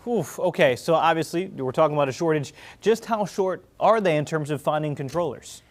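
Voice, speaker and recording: A woman's voice: low-pitched, brisk. An American woman co-anchoring TV news conversationally, reacting with small interjections as she questions a reporter.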